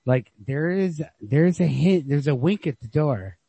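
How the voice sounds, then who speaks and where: A man's voice: a little high, animated, unhurried, gravelly, warm tone, husky, no room sound. A man talking casually about literature as a podcast host, on a lo-fi home recording.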